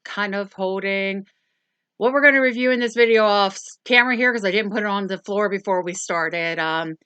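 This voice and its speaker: A woman's voice: medium pitch, normal speed, pushed and bright, smooth, no room sound. A woman hosting a casual doll review, rambling along with lots of ums and self-corrections. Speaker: toy review channel host.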